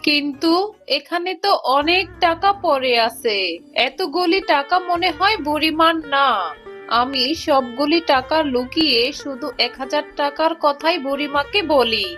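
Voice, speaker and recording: A woman's voice: high voice, a little slow, a bit bright, faint music behind. A woman voicing a greedy, scheming fox wife in a cartoon, wheedling and theatrical. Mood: sly, wheedling. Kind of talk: cartoon dialogue.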